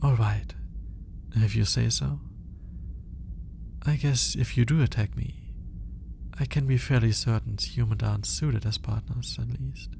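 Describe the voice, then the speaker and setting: A man playing a lonely, lovelorn alien scientist in an intimate ASMR roleplay, musing aloud in a hesitant, halting manner. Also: medium pitch, steady tone, creaky, darkish tone, a little hiss or hum. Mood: awkward, shyly smitten. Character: lonely male alien scientist, obsessive, awkward, smitten, lovelorn.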